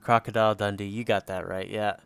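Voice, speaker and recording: A man's voice: on the low side, level tone, fairly quick, creaky, clear, resonant tone, no room sound. A man hosting a hobby livestream, chatting casually as he works and replies to viewers. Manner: chuckles often, reads and answers chat comments. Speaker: livestream host doing scale modelling. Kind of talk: casual live chat while working.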